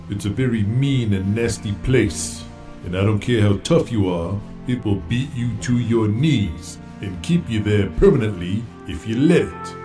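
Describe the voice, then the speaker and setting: Man playing a gruff veteran wrestler. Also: fairly low pitch, reverberant. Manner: intense, swaggering promo delivery. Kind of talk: audio drama acting.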